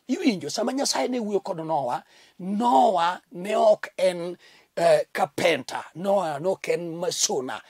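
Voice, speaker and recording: Middle-aged male pastor from Kenya, fervent studio sermon, husky high voice, lapel mic. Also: a little mellow, no echo. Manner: fervent preaching with sweeping hand gestures; repeats a thanks-to-God refrain. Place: indoor TV studio set at a desk.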